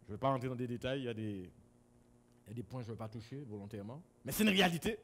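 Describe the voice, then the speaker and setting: A man from Guadeloupe preaching a sermon to a congregation in a church hall, swinging between loud exclamations and low asides. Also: lively intonation, rapid-fire, a little hiss or hum. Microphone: camera-recorded.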